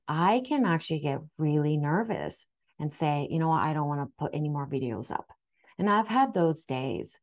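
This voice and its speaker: Low-voiced woman, Japanese native. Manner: soft, earnest, with frequent restarts and fillers. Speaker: spiritual astrology guide. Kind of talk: gentle spiritual talk to camera. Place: home room indoors. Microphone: webcam framing, seated facing camera.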